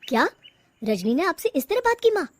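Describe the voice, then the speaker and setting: A patient young cartoon husband, played by a woman narrator in her own high voice. He coaxes gently and sounds earnest. His lines have a lively, bouncing lilt. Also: moderate pace. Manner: coaxing, earnest.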